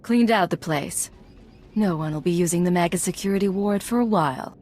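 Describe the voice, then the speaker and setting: Actress as a spy lady in a game, bit low, cool n businesslike, gives orders. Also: brisk, a little mellow, natural voice. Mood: commanding. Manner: clipped, businesslike. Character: composed, commanding female intelligence agent. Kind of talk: video game voice acting.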